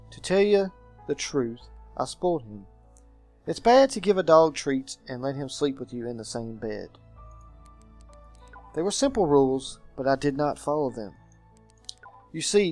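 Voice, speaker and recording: A man voicing a brooding gentleman guardian in a game, telling his story in a formal, melancholy manner. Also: on the high side, lively intonation, normal speed, pauses often, soft background music. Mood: regretful.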